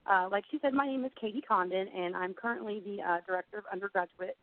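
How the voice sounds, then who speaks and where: A woman's voice: medium pitch, fast, dry recording. Slightly froggy woman on a phone-like line. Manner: conversational, explanatory, frequent "you know". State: under the weather, slightly froggy voice, throat clearing. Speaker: university admissions director giving a presentation. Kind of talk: informal webinar presentation. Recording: phone-like narrow band, dry.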